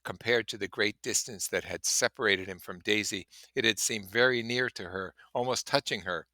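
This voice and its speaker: Guy reading a book out loud w/ little explainer asides, lowish bright voice, dramatic, dry sound. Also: normal speed, no room sound. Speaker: reader and commentator. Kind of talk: expressive audiobook-style reading with casual asides.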